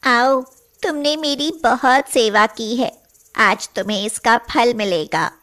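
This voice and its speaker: A woman voicing a kindly, just magical golden cow in an animated moral story, with a measured, solemn manner. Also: a little high. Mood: kind, generous.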